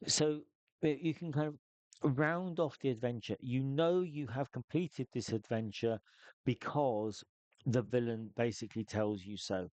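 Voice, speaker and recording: Guy rambling thru solo rpg tips, mid pitch, dry close sound, lots of restarts. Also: unhurried, a little mellow, dry recording. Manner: loose, thinking aloud with many restarts. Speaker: solo tabletop game player giving tips. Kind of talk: casual spoken advice.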